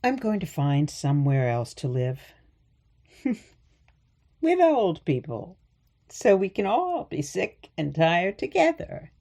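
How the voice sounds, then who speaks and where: A woman's voice: fairly low pitch, big pitch swings, slow, pauses often, mellow, full character voice. A woman playing a weary but sweet and wry elderly grandmother in a radio play. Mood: weary, frank.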